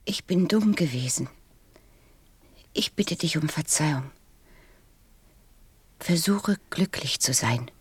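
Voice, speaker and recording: A woman playing a proud yet tender flower in a radio play, speaking softly and wistfully. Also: sits low, lively intonation, slow pace, pauses often, dry recording, full character voice. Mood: tender regret. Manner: soft, breathy, wistful. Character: proud, tender female flower, vain but brave.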